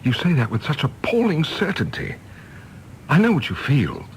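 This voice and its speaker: An actor playing an ardent, wounded suitor in a radio drama, earnest and insistent. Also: male voice, fairly high pitch, wildly swooping pitch, moderate pace, slight background noise, rough recording. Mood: hurt, earnest. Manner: impassioned, insistent.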